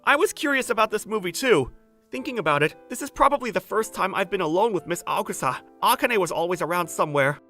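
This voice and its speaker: A woman's voice: mid-range voice, expressive, quick pace, fairly bright tone, soft background music. A woman voicing an earnest, easily flustered man in his thirties, narrating a romantic comedy manga dub. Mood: friendly. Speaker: voice actor narrating and playing the male lead.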